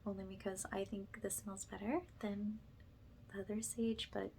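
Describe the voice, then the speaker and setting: A soft-spoken woman who often drops into a whisper as she gently guides an ASMR spiritual cleansing. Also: medium pitch, flat delivery, moderate pace, creaky, a little hiss or hum. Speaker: ASMR energy healer, host.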